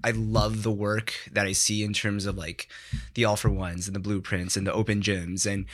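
Bright-voiced casual guy, slightly low. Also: level tone, normal speed. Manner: informal, thinking aloud with frequent "like". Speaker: podcast guest, digital content creator. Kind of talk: casual chat. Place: podcast studio.

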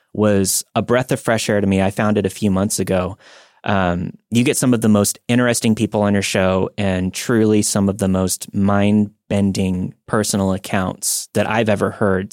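A man hosting a podcast in a relaxed, chatty way, laughing often and filling pauses with "uh". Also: fairly low pitch, dry recording, studio quality. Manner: easygoing, laughs often, fills with "uh". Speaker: podcast host. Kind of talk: casual interview chat.

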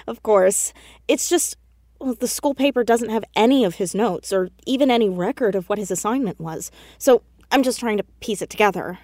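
A woman's voice: medium pitch, normal speed, darkish tone, dry recording, studio quality, natural voice. A woman playing a stubborn, inquisitive teenage girl sleuth in an audio drama, earnest and probing in a casual, argumentative way.

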